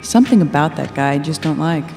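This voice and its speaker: A woman's voice: sits low, expressive, fast talker, vocal fry, warm tone. A woman reading a blunt, teasing girlfriend in a scripted audio story, casual and matter-of-fact. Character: Freya, blunt, teasing, observant girlfriend in passenger seat. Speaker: reader of the girlfriend's part in a novel reading. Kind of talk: scripted audio-story dialogue over music. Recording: long reverberation under music. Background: music.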